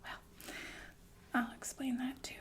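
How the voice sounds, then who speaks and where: A woman playing a sinister, falsely soothing killer nurse in an ASMR roleplay, speaking in a genteel, deliberate breathy whisper with murmured asides. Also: lightly acted. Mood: calmly menacing. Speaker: ASMR performer.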